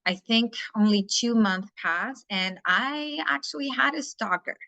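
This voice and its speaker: A woman's voice: on the high side, animated, a little slow, gravelly, pushed and bright, no room sound, dull audio. A woman from Chile speaking English with a Chilean Spanish accent, a life coach talking candidly and bluntly as a podcast guest. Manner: candid, frank and outspoken. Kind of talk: casual interview conversation.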